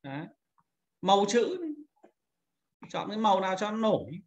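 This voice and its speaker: A man instructing in a screen-recorded software tutorial, talking informally and pointing things out step by step as he demonstrates. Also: high-pitched, lively intonation, moderate pace, muffled recording. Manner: informal, repeats pointing words while demonstrating.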